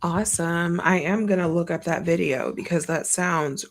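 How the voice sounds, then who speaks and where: A woman's voice: lowish voice, normal speed. A woman hosting a podcast interview, asking questions and affirming her guest encouragingly. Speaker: podcast host.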